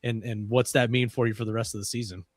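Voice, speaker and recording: A man's voice: mid-range voice, little pitch movement, fast talker, dry recording, studio quality. A man co-hosting a casual talk show, asking loose, rambling questions. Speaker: co-host. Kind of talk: casual podcast chat.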